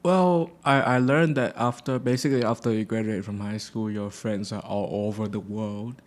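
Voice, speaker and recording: A young man from Thailand talks in a loose podcast chat with a lowish voice. He is relaxed and halting, and he pads his sentences with "um" and "uh". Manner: relaxed, hesitant, many fillers. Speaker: guest musician.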